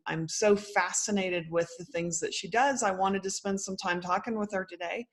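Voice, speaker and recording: A woman hosting an interview, asking a guest about her work with friendly enthusiasm. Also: mid-range voice. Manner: friendly, eager questions. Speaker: interview host, dietitian.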